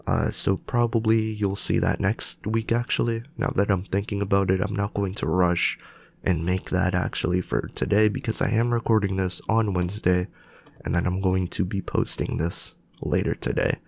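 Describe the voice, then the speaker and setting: A man's voice: deep voice, steady tone, moderate pace, gravelly, a little mellow. A young man with a cold and a nasal, stuffed-up voice, rambling casually as he hosts his solo podcast with many fillers and restarts. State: sick with a cold. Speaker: podcast host.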